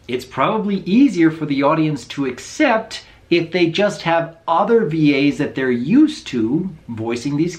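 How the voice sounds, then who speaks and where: A reviewer giving casual, wry commentary on animated episodes. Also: mid-range voice.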